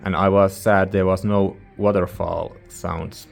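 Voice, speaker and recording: Male reviewer, non-native English speaker: low-pitched, very even intonation, informal and halting, rambling casually. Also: gravelly, faint music behind. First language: not English. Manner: hesitant, informal, searching for words. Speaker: music reviewer, channel host.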